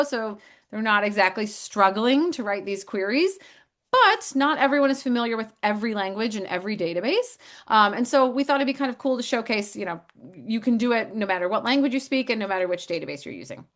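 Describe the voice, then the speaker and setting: A woman's voice: a little high, expressive, brisk, fairly bright tone. A woman in sales hosting a casual company demo, upbeat and friendly, with frequent fillers. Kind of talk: casual chat on video call.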